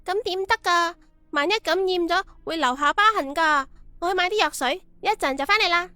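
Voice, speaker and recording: A woman's voice: extremely high pitch, a little fast, sharp tone, no echo, soft background music. A woman voicing a solicitous young female employee who fusses and exclaims, in an audiobook drama. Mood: anxious, caring.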